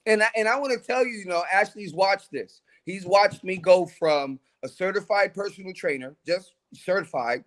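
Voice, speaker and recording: High-voiced, emphatic man in his forties. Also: moderate pace, few pauses, a bit bright. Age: 40s. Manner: emphatic, motivational, preachy. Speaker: track coach and trainer. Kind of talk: casual podcast conversation.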